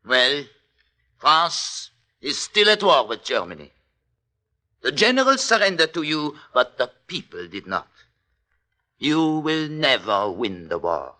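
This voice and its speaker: Slow, forceful defiant French priest. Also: male voice, mid-range voice, sing-song, full character voice. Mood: solemn defiance. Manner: slow, emphatic, declamatory. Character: defiant French parish priest facing a Nazi court. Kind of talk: 1940s radio drama acting.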